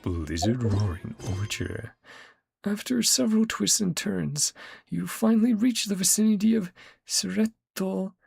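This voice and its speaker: Laid-back gravelly man gaming. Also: high voice, sing-song, a little slow, a little mellow. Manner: laid-back, chuckling, reads game text aloud. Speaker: gaming streamer. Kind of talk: casual let's-play commentary. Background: game music.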